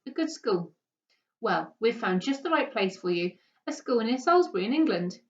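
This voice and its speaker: A woman's voice: medium pitch, natural voice. A woman voicing a firm, formal, matter-of-fact father in a storybook reading. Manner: formal, lecturing. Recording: dull, distant microphone.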